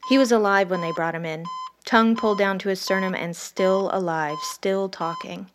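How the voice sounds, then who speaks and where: A woman's voice: medium pitch, steady tone, normal speed, noisy background, close to an everyday voice. A woman playing an ambitious, detached ER doctor in an audio drama, confessional and intense. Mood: haunted, grim. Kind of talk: first-person dramatic narration and dialogue.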